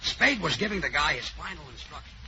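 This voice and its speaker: A gravelly, deadpan male comic from old-time radio, his pitch swinging widely. The recording is dull and lo-fi with loud hiss behind him. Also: a little fast. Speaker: comedy show host. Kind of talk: 1940s radio comedy.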